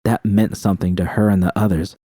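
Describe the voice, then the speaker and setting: A man reading first-person fiction as an audiobook, with tense, expressive dramatic storytelling. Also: sits low, a little fast, no room sound, studio quality.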